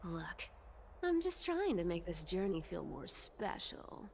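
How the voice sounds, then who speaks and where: A woman's voice: fairly low pitch, big pitch swings, slow pace, a little mellow, a little hiss or hum, heavily acted. A woman voicing a young female trickster demigod in a video game, with a breezy, teasing, sing-song delivery. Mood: playful, coaxing. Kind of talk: video game character acting.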